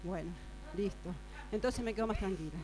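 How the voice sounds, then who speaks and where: A woman acting a gossipy friend confiding secrets in a hushed, urgent way with an Argentine accent, in an improvised radio drama. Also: medium pitch, normal speed, voice pushed down, natural voice, rough recording. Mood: secretive, excited. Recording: mains hum noise.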